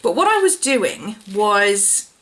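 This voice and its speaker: Woman, husky, hoarse from a cold, bright, casual explainer. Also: medium pitch, very animated, takes it slowly, rough recording. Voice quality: slightly croaky, husky. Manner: chatty, explaining step by step. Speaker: planner YouTuber. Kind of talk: casual show-and-tell vlog.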